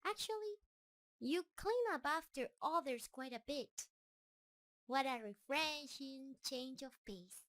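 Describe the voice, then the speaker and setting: Woman playing female spider hybrid, high voice, very slow pace, gentle, nervous, adoring, softly obsessive, intimate audio roleplay. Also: lively intonation, full character voice. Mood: tender, enamored. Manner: gentle, nervous, dreamy, unhurried.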